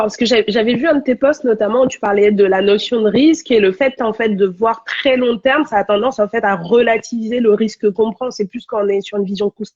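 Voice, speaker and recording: A woman with a head cold hosts a casual live-stream chat over a video call. Her voice is husky and breathy, and the sound is dry and dull with little room. She asks careful, thoughtful questions. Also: mid-range voice, a little mellow, no room sound. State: has a cold. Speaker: host.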